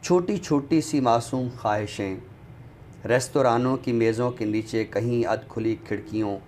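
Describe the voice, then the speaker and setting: A man reciting poetry on a literary show in a deliberate, expressive way, returning to refrains. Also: medium pitch. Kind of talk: dramatic Urdu poetry recitation, then book talk. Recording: clearly noisy background ambience.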